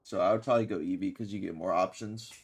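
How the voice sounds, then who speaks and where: A man's voice: sits low, fairly even intonation, fast, husky. A man commentating casually as he streams a video game.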